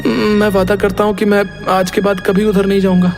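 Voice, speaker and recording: A man's voice: high-pitched, little pitch movement, moderate pace, music in the background. A man playing an earnest village boy from a fishing family in a dramatized story, explaining himself carefully. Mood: earnest, pleading.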